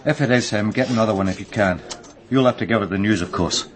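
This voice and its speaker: A man's voice: lowish voice, fast, a crowd in the background, lightly acted. An actor playing a dry, weary male detective sergeant in a radio crime drama, speaking plainly and with understatement.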